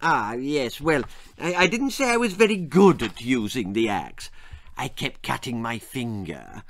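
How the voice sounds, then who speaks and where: A man's voice: medium pitch, big pitch swings, measured pace, vocal fry, a bit bright, full character voice. A man voicing a shrunken, crinkly, very old man in a children's storybook, blustery and given to quaint exclamations. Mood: sheepish. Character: shrunken, crinkly, indignant ancient old man with long beard.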